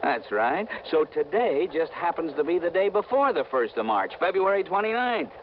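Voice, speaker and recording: An actor playing an exasperated but loyal American police official in an old radio drama, insistent and plain-spoken. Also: male voice, on the high side, very animated, normal speed. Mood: amused, patient. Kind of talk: 1950s radio drama acting. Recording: dull, noisy vintage radio recording.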